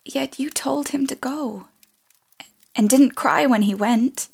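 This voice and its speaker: A woman playing an earnest, devoted teenage daughter in a period audio play, with a husky, creaky voice. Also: high voice, slow pace, darkish tone, full character voice. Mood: earnest, concerned.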